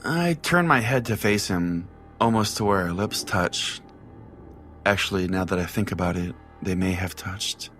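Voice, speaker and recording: A man's voice: low-pitched, measured pace, a bit bright, faint music behind. A man playing an irritable, foul-mouthed pastor who hosts a comedic call-in radio show, sarcastic and theatrical in his delivery. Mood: theatrical storytelling. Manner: exasperated, sarcastic, theatrical storytelling.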